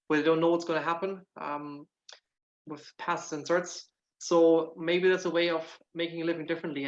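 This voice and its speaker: A man's voice: on the high side, a bit bright, dull audio. A man from Germany with a German accent tinged with Irish, speaking earnestly as an interview guest and often repeating words while he searches for them. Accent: German with some Irish. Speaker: guest, nutritional therapist. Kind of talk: casual interview answers.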